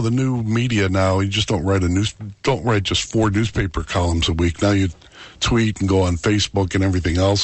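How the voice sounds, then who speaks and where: A man's voice: deep voice, dry recording. A man hosting a call-in radio show, chatty and self-mocking, rambling with frequent restarts. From Chicago. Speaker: fill-in radio talk show host.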